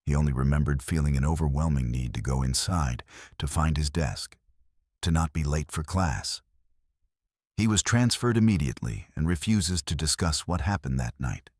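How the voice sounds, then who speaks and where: Very deep-voiced man narrating horror. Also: a little mellow, dry recording, clean studio sound.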